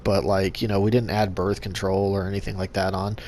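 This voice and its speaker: A young man hosting a gaming channel, talking casually to his subscribers in a monotone with lots of fillers and restarts. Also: sits low, brisk, a little hiss or hum, rough recording. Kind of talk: casual vlog update to subscribers.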